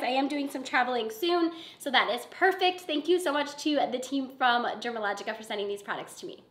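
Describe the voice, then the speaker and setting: A woman in her twenties, hoarse and congested from a cold, chatting excitedly as she unboxes beauty products. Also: high-pitched, echoey room. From Michigan. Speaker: beauty YouTuber. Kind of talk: casual unboxing vlog.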